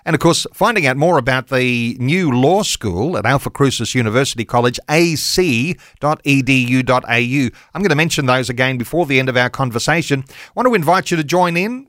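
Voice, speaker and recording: A man's voice: on the high side, animated, moderate pace, fairly bright tone, no echo. Upbeat radio host man, dry close sound. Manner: upbeat, chatty. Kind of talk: radio interview and announcements.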